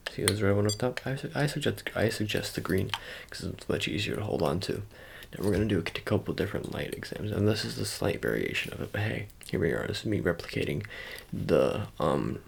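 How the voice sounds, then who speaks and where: A man speaks as an ASMR creator in a very low voice thick with gravelly fry. His pitch moves around in a lively way as he rambles casually to the viewer, often stopping and correcting himself. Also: background noise. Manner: halting, rambling, self-correcting.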